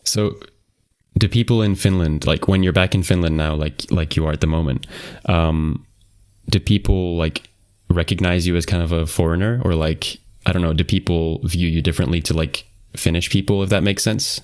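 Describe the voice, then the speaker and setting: A young man hosting a podcast, relaxed and laughing often as he asks follow-up questions. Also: deep voice, moderate pace. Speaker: podcast host. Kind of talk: casual interview chat.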